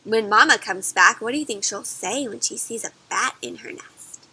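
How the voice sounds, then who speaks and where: A woman doing an interactive picture-book read-aloud for children, expressive and pausing to ask her young listeners questions in chatty asides. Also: fairly high pitch, a little hiss or hum, poor audio.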